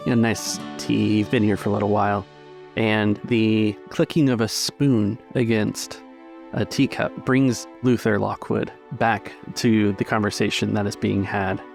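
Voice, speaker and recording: Low, gravelly male game master narrating. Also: level tone, unhurried, darkish tone, soft background music. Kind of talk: scene-setting narration for a role-play story.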